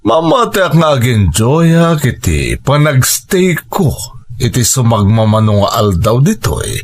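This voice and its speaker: A man playing a courteous, flirtatious hotel guest in an audio drama, suave and deliberate. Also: mid-range voice, wildly swooping pitch, exaggerated voice. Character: courteous, flirtatious male hotel guest, smooth and self-assured. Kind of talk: Ilocano audio drama acting.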